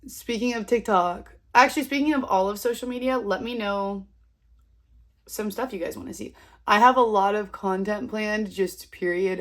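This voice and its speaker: A woman in her twenties with a sniffly cold, chatting casually and rambling to her viewers while she does her makeup at home. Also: mid-range voice. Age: 20s. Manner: chatty, rambling, self-deprecating. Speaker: vlogger. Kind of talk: casual chat to camera.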